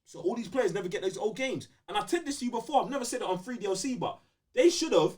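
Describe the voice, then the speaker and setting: A high-voiced man with a London accent hosts a casual podcast. His pitch swings wildly as he rants, hammering phrases again and again in heavy slang. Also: lively intonation, fast, a little mellow. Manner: ranting, emphatic, repeats phrases for emphasis, slangy. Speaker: podcast host. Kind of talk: heated casual gaming podcast banter.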